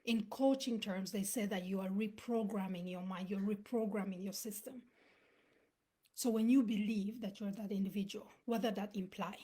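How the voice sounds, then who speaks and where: A woman's voice: mid-range voice, steady tone, moderate pace, mellow, husky. A woman in her thirties, born in Uganda, giving an earnest motivational talk on a live stream and dropping to a whisper for emphasis. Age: 30s. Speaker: motivational speaker.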